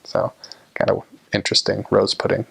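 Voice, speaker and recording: Relaxed rambling man, low voice. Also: normal speed, vocal fry, breathy edge, rough recording. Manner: relaxed, rambling, frequent fillers and restarts. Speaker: vlogger recounting time abroad. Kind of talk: casual talking to camera.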